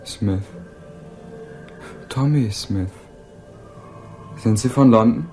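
An insolent wannabe hippie, with a lowish voice and an extremely slow drawl. He taunts and dodges in street slang, as in a sixties German radio thriller. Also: a little mellow, faint music behind. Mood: mocking. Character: insolent small-time male hippie, mocking and evasive. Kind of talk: 1960s German radio crime drama acting.

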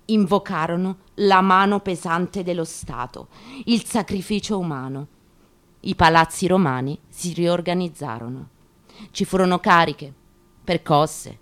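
Low female voice, dramatic radio theatre reading, solemn n declamatory, goes phrase by phrase. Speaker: actress reading on stage.

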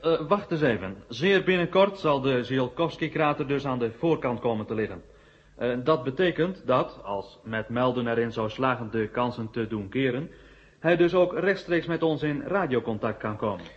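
Male actor for polite, hesitant questioner who presses on, mid-pitched, dull vintage radio-drama sound. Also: natural voice. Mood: hopeful, questioning. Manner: halting, polite. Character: hesitant, persistent questioner at a military briefing. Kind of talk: 1970 Dutch science-fiction radio drama acting. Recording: muffled old radio recording.

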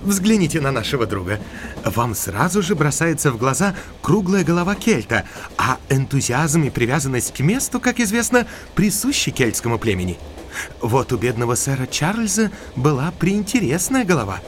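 An actor playing a talkative, learned country doctor with a scholarly, discursive manner in an audio drama. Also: male voice, a little high, very animated, moderate pace, slight background noise. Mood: pedantic, enthusiastic.

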